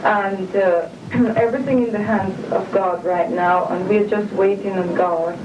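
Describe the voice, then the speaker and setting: A woman answering interview questions in a calm, earnest way, speaking about family and faith. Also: medium pitch, unhurried, lo-fi sound. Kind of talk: videotaped personal statement. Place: room inside a residential compound building. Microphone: camcorder microphone some distance away. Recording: distant, echoey, hissy old videotape.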